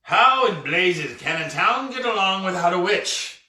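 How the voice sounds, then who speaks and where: A man voicing the sly, blustering ghost of a burly pirate captain in a storybook, with archaic pirate dialect and deliberate phrasing. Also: high-pitched, animated, pushed and bright, voice pushed up, exaggerated voice. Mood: grumbling. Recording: roomy, echoey, distant mic.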